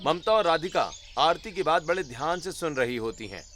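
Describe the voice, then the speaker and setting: Higher-pitched man narrating a story. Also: lively intonation, normal speed, over music.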